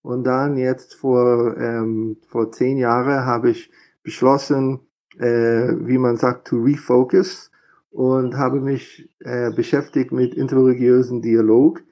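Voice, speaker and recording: A man's voice: medium pitch, flat delivery, takes it slowly, mellow, highs cut off. A middle-aged man from Philadelphia with an American accent, a rabbi answering interview questions in a hesitant way, with many fillers and restarts. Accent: American English. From USA, Philadelphia. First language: English. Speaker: rabbi, guest.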